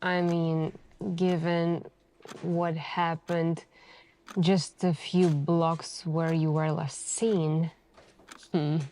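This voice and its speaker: A woman playing a suspicious police detective in an ASMR interrogation roleplay, speaking in a deliberate, insinuating way with pointed pauses. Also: low-pitched, flat delivery, warm tone. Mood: cool, insinuating.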